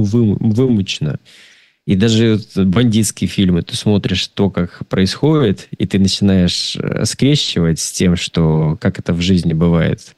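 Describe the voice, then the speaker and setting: A man giving a casual film review in conversation, speaking in a halting, rambling way and often repeating words. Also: low voice, darkish tone, no echo, clean studio sound. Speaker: film discussion participant.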